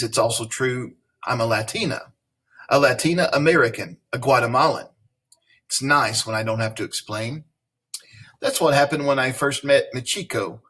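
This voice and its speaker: A man reading a children's picture book aloud, pausing to explain the story and ask young listeners questions, and going back to fix his own misreadings. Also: medium pitch, bright, forceful tone, slightly rough.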